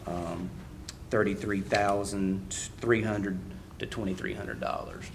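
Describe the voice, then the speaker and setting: Low-voiced county appraiser guy, halting, lots of ums, noisy meeting room. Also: steady tone, gravelly. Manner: halting, many fillers and restarts. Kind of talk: presenting at a public board meeting.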